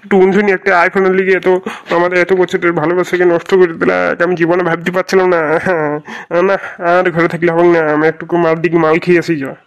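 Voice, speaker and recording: A man's voice: sits high, little pitch movement, fast, clear, resonant tone, dull audio. A man playing a hapless lovesick young man in a comedy cartoon, whining and melodramatic. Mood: bitter lament. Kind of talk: Bangla comedy cartoon dubbing.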